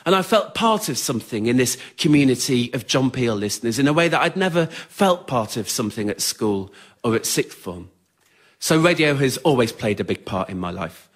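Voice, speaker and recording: A young Englishman performs a solo spoken-word piece on stage. His mid-pitched voice has a wry, understated manner, and he measures out his scripted personal stories like a writer reading his own work. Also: animated, normal speed. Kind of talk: scripted autobiographical storytelling on stage. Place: radio theatre in front of a live audience.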